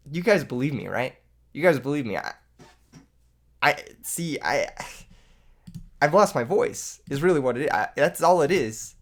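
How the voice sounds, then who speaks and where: A young man who has lost his voice to illness, joking and laughing as he commentates a card game he is playing for his channel. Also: mid-range voice. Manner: joking, self-mocking, laughs often. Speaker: gaming YouTuber. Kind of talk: live gameplay commentary.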